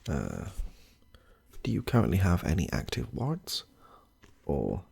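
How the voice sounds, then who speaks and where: Man acting husky, gravelly, low-voiced ASMR clinician. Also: wildly swooping pitch, a little slow, darkish tone, exaggerated voice. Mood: gently upbeat. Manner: hesitant, rambling, reassuring, murmurs to himself. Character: chatty, affable male medical intake clinician with a questionnaire. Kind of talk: soft-spoken ASMR roleplay.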